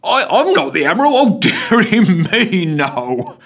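A man's voice: high voice, big pitch swings, unhurried, fairly bright tone, pitched above the performer's own voice, heavily acted. A man voicing a jolly, rotund male police constable who keeps chortling and laughing as he talks.

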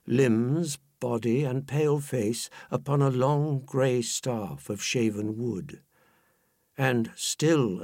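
A man reading poetry aloud in a solemn, dramatic style, with measured phrasing and deliberate pauses. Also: medium pitch, darkish tone, no room sound, studio quality. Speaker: poetry reader.

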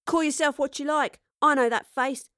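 A woman's voice: high voice, fairly even intonation, normal speed, a bit bright, no echo, clean studio sound, pitched above the performer's own voice. A woman voicing a feisty, suspicious young working-class girl in an audio drama, blunt and defiant, with a British accent. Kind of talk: audio drama character acting.

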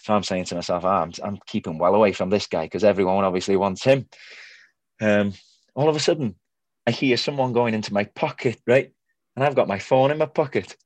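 A man's voice: fairly low pitch, fairly quick, dry recording. A Welsh man whose first language is Welsh, telling chatty, laughing stories as a footballer guest on a video call. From North Wales. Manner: chatty, laughs often, many fillers. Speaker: goalkeeper guest. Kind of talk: casual storytelling interview.

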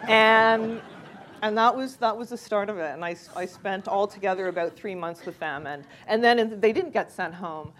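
A woman, a freelance journalist giving a measured, anecdotal personal account during a panel discussion. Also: mid-range voice, fairly bright tone, slight background noise. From Canada.

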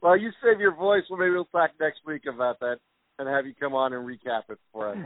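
A man hosting a sports podcast, talking in a relaxed, rambling way full of fillers. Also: on the high side, a little fast, dry recording. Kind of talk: casual sports interview. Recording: tinny phone-like sound.

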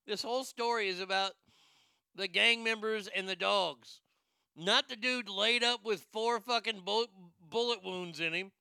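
A man's voice: high-pitched, a little slow, gravelly, sharp tone, clean tone, no room sound. A man giving comedic news commentary, sarcastic and profane, who often breaks into laughter. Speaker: commentary host.

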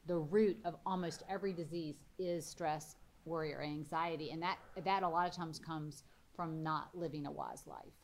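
A woman's voice: low-pitched, steady tone, moderate pace. A woman in her forties giving conversational religious teaching as a co-host. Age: 40s.

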